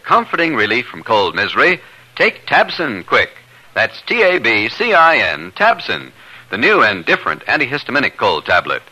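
Very animated, forceful vintage radio announcer man. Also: on the low side, rough recording. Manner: emphatic, spells out words. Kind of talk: 1950s scripted radio ad announcing. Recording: vintage 1950s radio broadcast.

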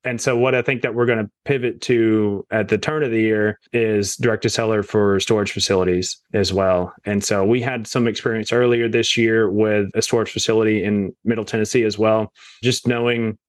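A man with a lowish voice, recorded close and dry with no room sound. He talks in an easy, conversational way as a podcast guest, often tagging his points with "right?" and "you know". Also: fairly even intonation, normal speed, no echo. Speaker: real estate investor guest. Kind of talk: casual podcast interview.

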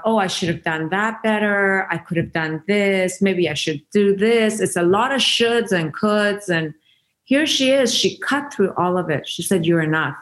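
A woman from Iran whose first language is Farsi, telling stories earnestly and reflectively as an interview guest, with frequent restarts. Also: mid-range voice. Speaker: interview guest, founder of a women's giving circle. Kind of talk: conversational interview storytelling.

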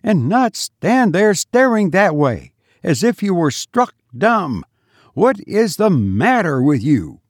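A man voicing a peremptory, indignant mistress of the house in a storybook reading, with a sharp, impatient, demanding manner. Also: a little high, big pitch swings, slow pace, no room sound, clean studio sound, voice pushed up, exaggerated voice. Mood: alarmed, demanding.

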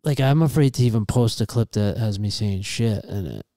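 A soft-spoken man talking casually as a podcast guest, hesitant and full of fillers, holding the mic close. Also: lowish voice, normal speed, no echo. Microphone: handheld mic held close.

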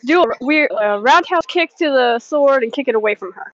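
A woman's voice: high voice, lively intonation, moderate pace. A woman chatting casually as a player in a tabletop game, heard in dull lo-fi audio.

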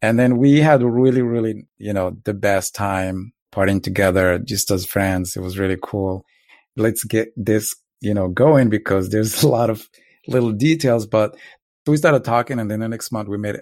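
A man's voice: lowish voice, moderate pace, a little mellow, dry recording, clean studio sound. A man from Morocco casually telling a couple's story, rambling and laid-back with lots of filler words.

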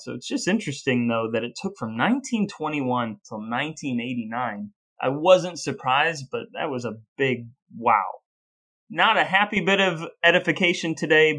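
A man's voice: medium pitch, a bit bright. An American man co-hosting a podcast in a conversational style. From United States. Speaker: podcast co-host.

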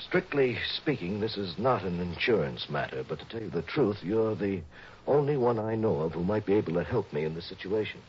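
A nervous, stammering man of about fifty, as an actor plays him in an old radio play. His voice is low but rises and falls anxiously, and the muffled broadcast carries a faint hiss. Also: expressive, a little fast, natural voice. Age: 50s. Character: worried, stammering wealthy middle-aged man. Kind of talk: 1960s radio drama acting.

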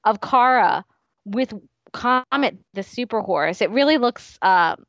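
High-voiced woman co-hosting a muffled podcast. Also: lively intonation, measured pace, no room sound. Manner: giggly, amused. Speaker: podcast co-host. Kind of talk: casual fan podcast chat.

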